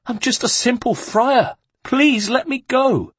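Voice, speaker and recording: A man voicing a terrified young monk who pleads and sobs in a high, strained voice. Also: lively intonation, normal speed, slightly rough, no room sound. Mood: pleading, sobbing. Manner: high, wailing and begging.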